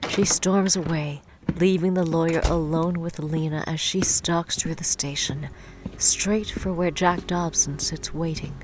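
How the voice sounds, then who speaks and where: An actress giving solemn, measured scripted narration for a superhero audio drama. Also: female voice, sits low, steady tone, background noise. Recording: music behind the voice.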